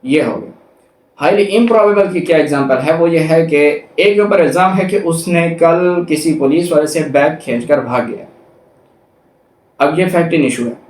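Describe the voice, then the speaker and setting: Higher-voiced Pakistani man lecturing, echoey and distant. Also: moderate pace, darkish tone. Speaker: law lecturer. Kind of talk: explanatory lecture, reading articles aloud and explaining them. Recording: echoey room, distant microphone.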